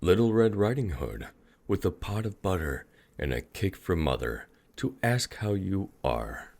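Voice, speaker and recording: Low-voiced guy doing a sweet trusting little girl from a storybook, polite, pitched up a bit, slow. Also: very animated, vocal fry, a little mellow, exaggerated voice. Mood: trusting wonder. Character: innocent trusting little girl in a fairy tale.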